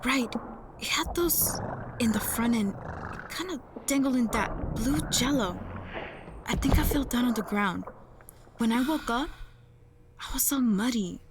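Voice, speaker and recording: Dazed, shaken woman over loud ambience. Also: a little high, animated, a little slow, long pauses, background noise. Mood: dazed, recalling. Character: dazed shaken woman recounting a strange encounter. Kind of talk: audio drama dialogue, confessional recounting. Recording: audio drama clip over loud sound effects. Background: loud ambient sound design.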